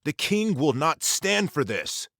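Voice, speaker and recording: A man's voice: a little high, sing-song, takes it slowly, a bit bright, dry recording, studio quality, voice pushed up, full character voice. A man playing a large, mature, stern city watch commander in an audiobook, formal, guarded and terse. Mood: defiant, stern. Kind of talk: audiobook character acting.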